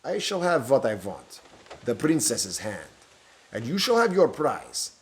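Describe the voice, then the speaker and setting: A man's voice: medium pitch, huge pitch swings, measured pace. A man voicing a thin, pale, sinister stranger with a Romanian accent, speaking in a cold, hard, hissing way. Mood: menacing.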